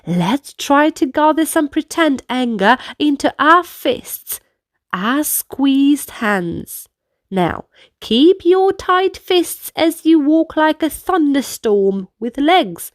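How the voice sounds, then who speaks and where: A woman's voice: high voice, big pitch swings, takes it slowly, no room sound, clean studio sound. A woman narrating a children's storybook and guiding young listeners through dance movements in an instructive manner. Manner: instructive, clearly paced delivery for young listeners.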